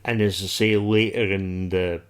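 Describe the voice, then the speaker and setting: Slow-paced, sweary man with a low voice. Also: fairly even intonation. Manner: blunt, sweary, opinionated. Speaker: podcast host. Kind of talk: casual TV review chat.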